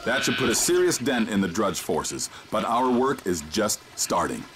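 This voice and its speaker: An actor playing a mysterious male handler who guides an agent by radio, calm, commanding and instructive. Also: on the low side, moderate pace, background noise. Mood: steady guidance. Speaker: mission guide in a video game. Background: game score music.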